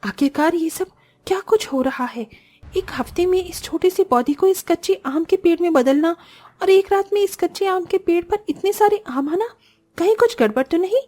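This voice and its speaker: A woman voicing a poor, earnest young orphan sister in an expressive style for a cartoon moral story. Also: very high-pitched, moderate pace, soft background music. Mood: amazed.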